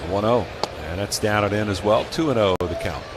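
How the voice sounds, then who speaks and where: A man's voice: fairly low pitch, a little fast. An American man calling live baseball play-by-play in a radio commentary style. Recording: clearly noisy live ballpark broadcast.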